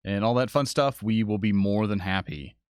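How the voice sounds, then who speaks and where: A man's voice: lowish voice, moderate pace, smooth, dry recording, clean studio sound. A man co-hosting a livestream, chatting informally and enthusiastically with the viewers. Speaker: livestream co-host, social media producer. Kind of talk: casual livestream chat addressing viewers.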